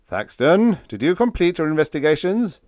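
A man voicing a blustering enemy spaceship commander in a science fiction story, loud yet uncertain and easily exasperated. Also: a little high, very animated, moderate pace, dry recording, voice pushed up.

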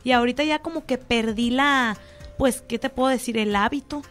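A woman's voice: on the high side, a little fast, a bit bright, faint music behind. A middle-aged Mexican woman hosting a talk show, chatting casually with a guest and telling personal stories to her audience. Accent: Mexican Spanish. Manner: chatty, personal anecdotes, addresses audience as friends. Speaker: show host.

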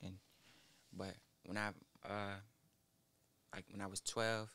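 A nineteen-year-old man, a rapper guest in a small studio, speaking haltingly into a boom mic with many fillers. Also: level tone, creaky, bright, forceful tone, clear, resonant tone. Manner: halting, mumbled, full of fillers. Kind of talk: casual interview. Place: small studio with foam wall. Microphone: studio mic on boom arm, headphones.

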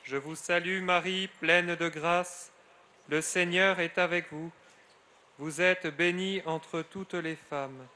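A middle-aged friar leading solemn prayer in a measured cadence, his phrases falling away at the end. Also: on the high side, steady tone, sharp tone. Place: outdoors at a grotto shrine.

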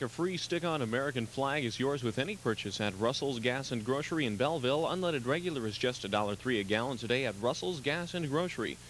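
A young male DJ gives time checks and reads live spots with a smooth announcer delivery. His pitch is middle for a man, and faint music sits under his voice. He is in a small radio studio. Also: fairly bright tone, poor audio. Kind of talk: station IDs and live ad reads.

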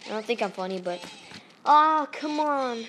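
A woman from Malaysia with a Malaysian accent, giving excitable let's-play commentary into a tablet microphone held up to her face, yelping and pleading at the game. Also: fairly high pitch, measured pace, a little hiss or hum. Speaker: gaming channel host.

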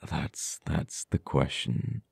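Man acting a very deep, gravelly, bitter government official. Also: no room sound, studio quality, exaggerated voice. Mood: stern, pleading. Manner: halting, teasing, dramatic pauses and repeated words. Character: uptight male government official, cold, bitter, mourning lost friend. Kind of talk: ASMR roleplay acting.

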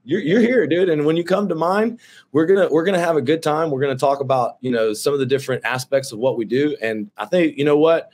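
Excitable guy mid 30s, webcam in his office, says dude and bro a lot. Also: fairly high pitch, fast. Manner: brash, excitable, swears freely, calls people dude and bro. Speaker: roofing company owner and host. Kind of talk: casual video-call chat. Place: office with shelves.

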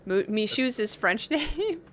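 A woman's voice: a little high, lively intonation, slow pace, a crowd in the background. A young woman streaming a game with a friend over a headset, chatting playfully and swearing when things go wrong. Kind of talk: casual gaming chat with a friend. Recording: faint background noise.

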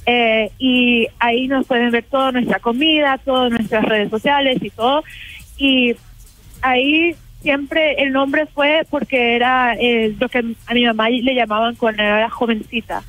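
A woman's voice: fairly high pitch, fairly even intonation, measured pace, fairly bright tone, no room sound, rough recording. Young woman talking hesitantly by phone. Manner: hesitant, mixing in English words. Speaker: café owner, phone guest. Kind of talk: casual phone interview. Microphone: phone line.

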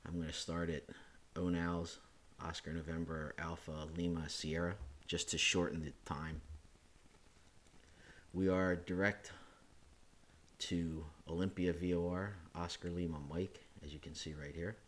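A man, hoarse from a chest cold, hosting a screen-recorded flight simulator how-to, talking it through casually with self-corrections and filler sounds. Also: low voice, unhurried. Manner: relaxed, thinking aloud with frequent uh and self-corrections. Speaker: flight sim hobbyist host.

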